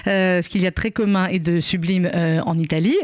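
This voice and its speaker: A woman's voice: lowish voice, steady tone, brisk, a little mellow. A woman hosting a radio show, chatting casually, teasing and laughing often.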